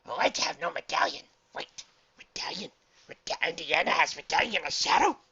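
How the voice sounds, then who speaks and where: A man voicing a timid, simple-minded but eager dog creature in a storybook, talking in broken, excitable sentences. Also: a little high, moderate pace, creaky, dull audio, crowd noise, voice pushed up. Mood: nervous, confiding. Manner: broken third-person speech, cowering and excitable.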